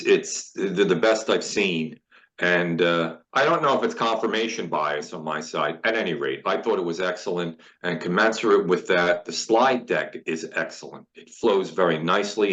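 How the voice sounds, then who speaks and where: Deep-voiced man with halting remarks. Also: a bit bright. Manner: halting, stumbles and repeats words. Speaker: committee member. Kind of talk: meeting comment. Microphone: video call.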